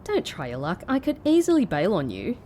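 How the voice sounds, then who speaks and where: A woman's voice: mid-range voice, huge pitch swings, fairly quick, warm tone, a little hiss or hum. An actress playing a reluctant, sardonic female ex-secret agent with a dry, grudging manner in an audio drama. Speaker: voice actor. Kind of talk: anime-style audio drama acting.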